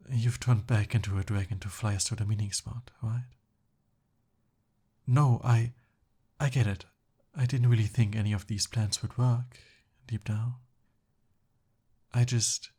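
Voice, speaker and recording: Guy playing a lovesick young prince for asmr roleplay, breathy husky voice, slow halting and flustered. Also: medium pitch, warm tone. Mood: hurt, resigned. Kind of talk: ASMR romantic roleplay acting.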